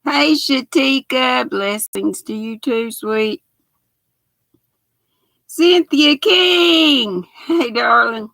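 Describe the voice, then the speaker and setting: An older woman with a raspy, gravelly voice talks to her livestream viewers at an unhurried pace. She chats in a folksy way and greets people by name. Her voice is close to the mic and dry. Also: high-pitched, a bit bright, no echo. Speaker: knitting livestream host. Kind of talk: casual live chat while sewing.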